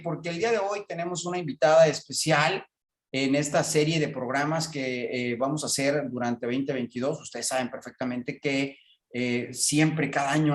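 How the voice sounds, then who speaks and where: A man's voice: fairly high pitch, reverberant. A man hosting a radio interview, talking in a rambling way with many fillers. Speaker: radio host.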